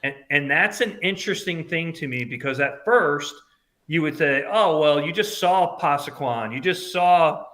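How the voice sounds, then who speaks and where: A man in his fifties from upstate New York, chatting casually as a podcast guest in a loud voice that projects. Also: a little high, animated, moderate pace. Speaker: guest, concert fan.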